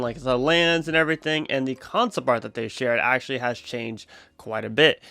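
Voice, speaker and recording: A man's voice: mid-range voice, fairly bright tone, smooth, no echo. A man presenting theme park news as a vlog host, chatty and enthusiastic with his viewers. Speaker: YouTube channel host.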